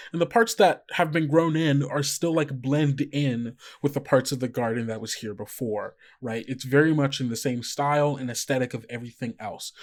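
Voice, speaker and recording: A man narrating a tabletop role-playing game as its game master, his voice sitting at an average pitch. He talks loosely and casually, piling up fillers and restarts as he paints each scene. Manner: casual, many fillers and hedging likes.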